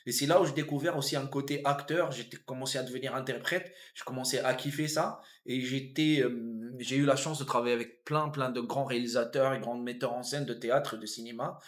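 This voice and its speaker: Tunisian guy in his 50s chatting about his career, thinks out loud, lots of restarts and ums. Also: medium pitch, fairly even intonation, brisk, hardly any pauses. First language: Arabic. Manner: reflective, repeats and restarts words, many fillers. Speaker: guest, comedian and actor. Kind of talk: casual interview storytelling.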